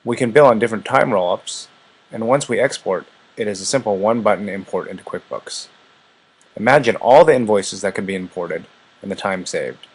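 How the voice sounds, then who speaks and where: Male software demo presenter, low register, husky tone, scripted step-by-step walkthrough delivery. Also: fairly quick, poor audio. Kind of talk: scripted product demo narration.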